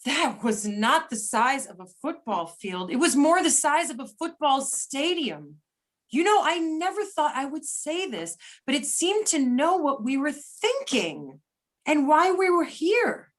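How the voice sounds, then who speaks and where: A woman acting a frank, sharp-tongued federal agent in an amateur readers theater. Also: high voice, sing-song, measured pace. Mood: awed, irritated.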